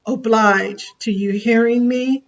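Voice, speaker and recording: A woman's voice: a little high, fairly even intonation, slow, highs cut off. A woman performing an older woman orator, bold and plainspoken, addressing a crowd in a preacherly, folksy way with a repeated refrain. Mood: defiant.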